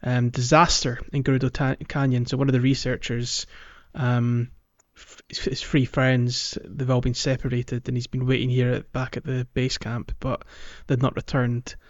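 A man talks through a video game as a podcast co-host, casual but thorough in his explanations. His voice sits at a middle pitch. The sound is very dry and a little dull, like a modest home microphone. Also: little pitch movement, normal speed, hardly any pauses, no echo. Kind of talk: casual gaming chat.

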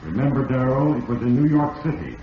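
Echoing, ominous voice of Fate. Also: male voice, mid-range voice, very animated. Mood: ominous, solemn. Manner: portentous, deliberate, theatrical. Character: Fate personified, ominous all-knowing male narrator. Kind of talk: old-time radio mystery narration. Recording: muffled old radio recording, echoing, distant, hissy.

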